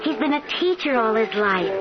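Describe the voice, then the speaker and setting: A woman acts a soft-hearted, evasive young sweetheart in an old radio play. Her voice is high and her phrasing hesitant and pleading. Loud music sits behind the muffled recording. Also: lively intonation, normal speed, natural voice. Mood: anxious, pleading. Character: gentle, evasive young sweetheart torn by loyalty. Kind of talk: old radio drama acting.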